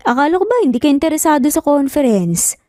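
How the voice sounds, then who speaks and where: A woman's voice: high-pitched, sing-song, fast talker, dark tone, no room sound, clean studio sound, soft background music, pitched above the performer's own voice. A woman voicing a jovial, knowing male boss and friend in a romance story, with a Filipino accent. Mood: surprised.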